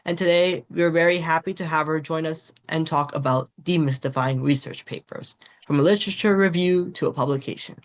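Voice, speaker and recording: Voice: medium pitch, steady tone, a little fast, few pauses. A webinar host reading a formal prepared introduction over a video call. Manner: reads prepared remarks, formal. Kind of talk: scripted introduction.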